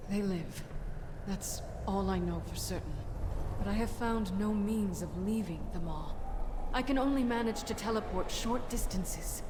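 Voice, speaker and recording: A woman's voice: medium pitch, measured pace, close to an everyday voice. A woman playing a grave, resolute mage leader in a fantasy game, earnest and theatrical. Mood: grave, worried. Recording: noisy game ambience behind.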